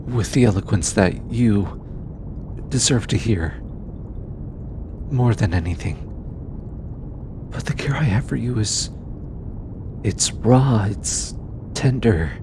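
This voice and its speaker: A man playing a gentle, shy, affectionate alien scientist in an intimate roleplay audio, speaking tenderly and hesitantly in formal, earnest phrases. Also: on the low side, background noise.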